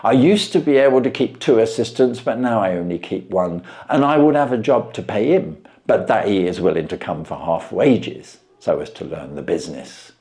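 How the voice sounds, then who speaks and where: Casting: male reader playing naive, blustering tradesman, a storybook pawnbroker who is easily astonished. Big swings in pitch. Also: expressive, moderate pace, hardly any pauses, a little mellow, natural voice. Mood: plain, explanatory. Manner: blustering, plain-spoken, naive. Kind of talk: storybook character voice.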